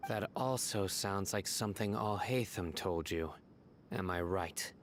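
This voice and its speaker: Low, stern, principled lawman. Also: very noisy. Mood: serious, principled. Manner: grave, measured, formal. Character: stern male former law enforcer, adult, principled and cautious. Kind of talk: dramatic video game dialogue. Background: music.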